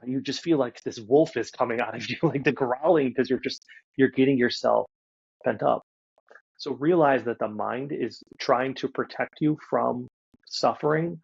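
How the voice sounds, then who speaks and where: A man with a gravelly, husky voice works as a coach in a one-to-one session. He speaks directly to the listener, instructing with firm emphasis. The pitch sits in an ordinary male range, and the audio is a little dull and lo-fi. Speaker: mindset and relationship coach. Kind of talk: live one-to-one coaching talk.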